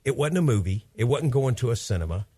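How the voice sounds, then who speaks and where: A man's voice: medium pitch, expressive, fast, mellow, breathy edge, dry recording. A man in his fifties hosting a radio show, talking in an upbeat, chatty, rambling way with his young son as guest. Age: 50s.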